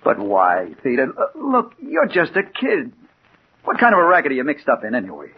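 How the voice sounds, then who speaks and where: Tough American crime reporter, old radio. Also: male voice, mid-range voice, wildly swooping pitch, brisk, breathy edge, heavily acted. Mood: pleading. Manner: tough, slangy, dramatic delivery. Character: hard-boiled male crime reporter, impatient, obsessive, haunted. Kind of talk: 1940s radio drama acting, first-person narration. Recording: dull, hissy 1940s radio recording.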